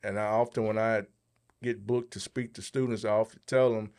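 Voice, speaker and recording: A man's voice: on the low side, steady tone, a little fast, darkish tone, smooth. A man co-hosting a podcast, giving a motivational talk and repeating key phrases for emphasis. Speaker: podcast host, motivational speaker.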